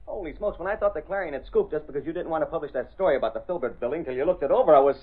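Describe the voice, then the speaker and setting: An actor playing an eager, slangy newspaper reporter in an old radio drama, excitable and grinning. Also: male voice, on the high side, very fast, breathy edge. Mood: excited. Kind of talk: 1930s-40s radio drama acting. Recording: tinny old radio recording.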